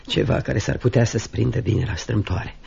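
Very husky, creaky, furtive clerk. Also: male voice, on the low side, darkish tone, exaggerated voice. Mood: urgent, breathless. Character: kindly, furtive, hurried clerk. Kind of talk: radio drama acting. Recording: muffled old radio recording.

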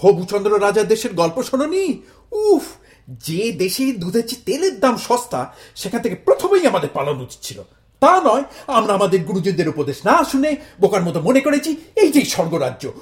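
An actor playing an excitable, exasperated middle-aged Bengali immigrant husband in a comic audio drama, exclaiming, grumbling and slipping into English phrases. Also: male voice, sits high, normal speed. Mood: indignant ranting. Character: hot-headed exasperated Bengali immigrant husband, melodramatic and stubborn. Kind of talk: audio stage-style comedy drama acting.

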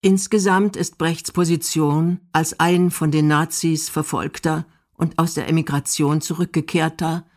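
A woman's voice: sits low, fairly even intonation, unhurried, few pauses, a little mellow. A woman from Germany, reading scripted documentary narration.

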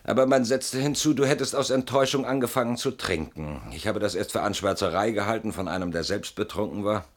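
A man's voice: on the low side, fairly quick, few pauses, natural voice. An actor playing a weary, bitter middle-aged man in a theatrical German radio drama monologue, brooding and mocking, swinging between muttering and outbursts. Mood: weary, remorseful. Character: weary, bitter man haunted by a betrayed old friend.

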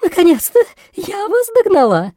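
A man's voice: very high voice, big pitch swings, brisk, mellow, no echo, studio quality. A man voicing a breathless, eager girl who plays the number one in a children's story.

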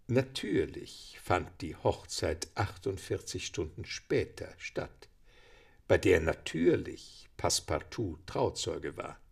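A man's voice: sits low, big pitch swings, takes it slowly, gravelly, slightly rough. A man reading scripted narration for a German radio play.

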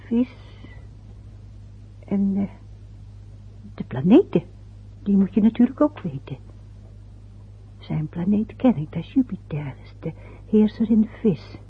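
A fussing middle-aged mother from an old radio play, muttering and chatting to herself. Her voice is very breathy and husky, and the lo-fi recording sounds muffled. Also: lowish voice, heavily acted.

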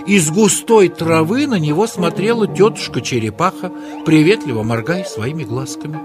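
A man narrating a children's story in a dramatic, expressive, exclamatory style. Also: on the high side, moderate pace, over music.

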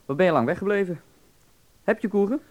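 An actor in a radio drama playing a lively young man with a flippant, teasing way of talking. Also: high-pitched, brisk.

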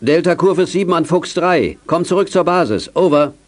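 A man's voice: a little high, animated, normal speed, lightly acted. An actor playing a kindly, careful old man who helps young detectives in a children's radio play. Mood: brisk radio call. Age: older. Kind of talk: 1980s German children's radio play acting.

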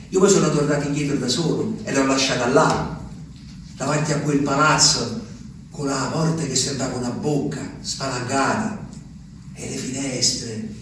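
A man's voice: on the high side, lightly acted. An actor playing an ageing, lonely peasant man, rambling and repeating himself in a theatrical monologue. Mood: wistful. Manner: rambling, repetitive, swings from hushed to exclaiming. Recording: echoey, distant stage recording, faint noise.

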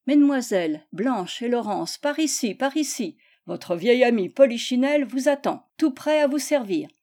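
A woman reader puts on a jovial hunchback puppet man from a storybook. He calls out in a high, rounded voice. His manner is cheeky and teasing. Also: expressive, moderate pace, mellow, close to an everyday voice. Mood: jovial, beckoning. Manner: calling out, teasing.

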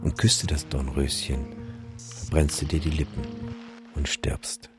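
A man's voice: very deep voice, level tone, measured pace, music in the background. An actor in a radio drama playing a grave male witness, speaking in a somber, ominous way. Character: grave male witness recalling an eerie dead landscape.

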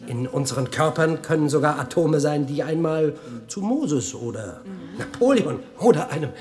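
An actor playing an intense, musing physicist in a radio drama, lecturing and speculating with halting rhetorical questions. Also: male voice, on the high side, animated, darkish tone, lightly acted. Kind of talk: German science-fiction radio drama acting.

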